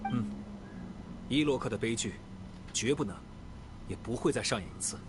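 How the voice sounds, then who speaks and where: An actor playing a grave, composed knight in fantasy game dialogue, speaking in a measured, solemn way. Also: male voice, medium pitch, noisy background, close to an everyday voice. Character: grave composed adult male knight recounting a dark tragedy. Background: orchestral game music.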